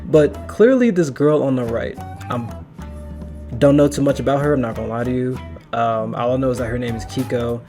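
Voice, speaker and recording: A man's voice: medium pitch, fairly quick, dark tone, faint music behind. A man hosting a reaction video, talking casually and repeating himself, with lots of fillers.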